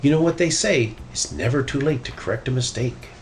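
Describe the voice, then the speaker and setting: A man's voice: medium pitch, expressive, fairly quick, slight background noise, pitched above the performer's own voice, lightly acted. A man voicing an eager, worried young boy bear cub in a children's storybook.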